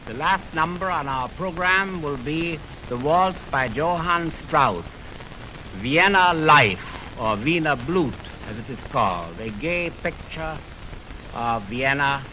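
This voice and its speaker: A man introducing concert music in a deliberate, formal 1920s radio style, on a crackly old broadcast recording. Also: a little high, lively intonation, pushed and bright. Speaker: radio music program presenter.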